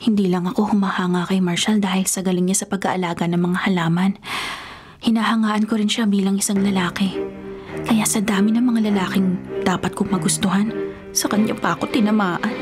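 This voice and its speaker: An actress with a Filipino accent playing a lovestruck, sheltered young rich daughter in a radio drama, coy and mixing in English. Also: female voice, medium pitch, steady tone, fairly quick, darkish tone, close to an everyday voice. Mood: smitten, flustered. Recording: music bed behind the voice.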